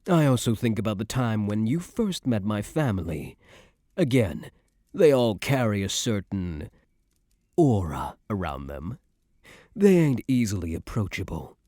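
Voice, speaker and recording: A low, close and dry voice of a young mafia heir, acted by a man in an ASMR roleplay. He is tough and self-assured, yet tender with his partner. His slangy, affectionate talk rises and falls expressively. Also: very animated, unhurried, mellow, dry recording. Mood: fond reminiscing. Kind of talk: ASMR boyfriend roleplay, intimate scripted monologue.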